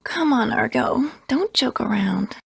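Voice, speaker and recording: A woman voicing a fragile, devoted young girl apprentice in a video game, breathy and hesitant. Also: on the high side, lively intonation, no echo, heavily acted. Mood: distressed disbelief. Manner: hesitant, halting.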